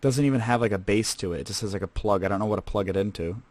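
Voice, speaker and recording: A man's voice: lowish voice, racing, darkish tone, no room sound, close to an everyday voice. A man playing a rambling, casual male scavenger who hosts a comedic in-character podcast. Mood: chatty. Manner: rambling and casual, lots of fillers. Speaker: host.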